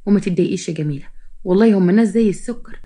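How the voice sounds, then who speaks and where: A woman voicing a gentle, coaxing middle-aged mother in a story, with an Egyptian Arabic accent. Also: medium pitch, racing, breathy edge, lightly acted.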